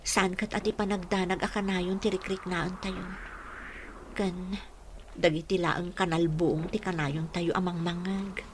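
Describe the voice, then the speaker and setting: A woman's voice: fairly low pitch, flat delivery, unhurried, background noise, lightly acted. An actress playing a troubled, defiant young village woman in an emotive Ilocano radio drama. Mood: worried, brooding. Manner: emotive, melodramatic delivery.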